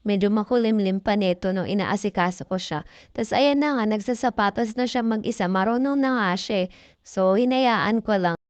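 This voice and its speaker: A woman's voice: medium pitch, normal speed, no echo. A young Filipino woman with a chatty, informal manner, narrating a casual vlog as a voice-over. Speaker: mom vlogger.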